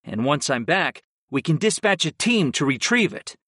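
Male fantasy audiobook hero, acted by a narrator: commanding, blunt, medium pitch, close dry sound. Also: sing-song, moderate pace, a bit bright, dry recording. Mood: matter-of-fact. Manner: commanding, blunt, matter-of-fact.

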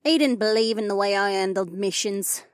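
A woman's voice: mid-range voice, normal speed, no room sound, studio quality, natural voice. A woman voicing a proud, wounded elf noblewoman and sword warrior in an audiobook. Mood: bitter. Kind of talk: audiobook character dialogue.

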